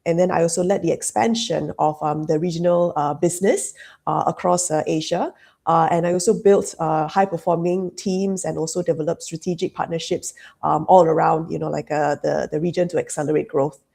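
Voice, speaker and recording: A woman's voice: deep voice, steady tone, brisk, gravelly, warm tone. A woman, a consultant answering interview questions hesitantly, with many fillers. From Malaysia. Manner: hesitant, full of "um" and "you know, like" fillers. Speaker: business consultant, guest.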